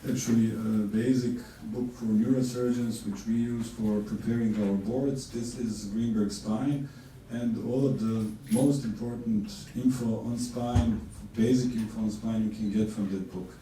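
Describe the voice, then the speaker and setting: A man from Bosnia and Herzegovina, a neurosurgeon giving a measured course lecture with many fillers and restarts. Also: fairly low pitch, steady tone, mellow. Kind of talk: conference lecture. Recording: distant mic in an echoey hall with faint noise.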